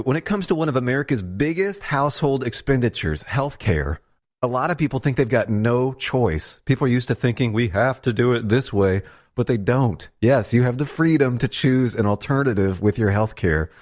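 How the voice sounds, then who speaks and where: Mid-pitched man reading a radio ad. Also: lively intonation, moderate pace, a little mellow. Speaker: radio ad announcer. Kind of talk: conversational scripted ad read.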